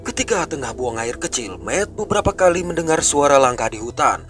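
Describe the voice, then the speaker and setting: A man narrating a film recap in a brisk, scripted storytelling way. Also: on the high side, very animated, a bit bright, soft background music. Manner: brisk storytelling, rising and falling delivery.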